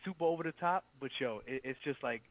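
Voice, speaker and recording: A man's voice: mid-range voice, fast, no room sound, dull audio. A man chatting casually about TV shows in slang, repeating words in bursts.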